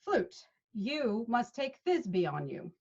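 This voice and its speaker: A woman's voice: fairly high pitch, sing-song, takes it slowly, darkish tone, husky, full character voice. A woman playing a patient male leader of amateur players in a Shakespeare read, instructing expressively over a video call. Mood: directing. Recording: dull video-call audio.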